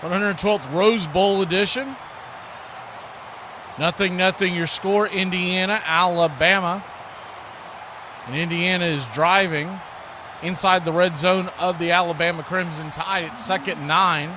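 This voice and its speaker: A man's voice: high voice, steady tone, a little slow. A man doing live play-by-play radio commentary of an American football game, describing the action in short phrases. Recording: clearly noisy live stream recording.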